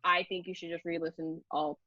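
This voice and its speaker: A woman's voice: on the low side, flat delivery, a little fast, bright, forceful tone, no room sound, lo-fi sound. A woman interviewer chatting casually in a playful, rambling way. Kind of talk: casual chat.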